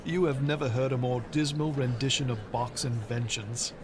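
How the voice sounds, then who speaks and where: An actor playing an eager, friendly teacher in an audio drama, who talks in an excitable way. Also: male voice, medium pitch, moderate pace, background noise, natural voice. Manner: excitable, lively. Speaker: teacher who likes opera.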